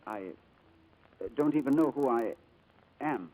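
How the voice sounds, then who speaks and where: An actor in a 1940s-style radio drama playing a gentle amnesiac man who speaks shyly, with a nervous stammer. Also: mid-range voice, lively intonation, muffled recording. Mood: nervous, halting. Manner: hesitant, halting, stammering. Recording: old radio broadcast recording.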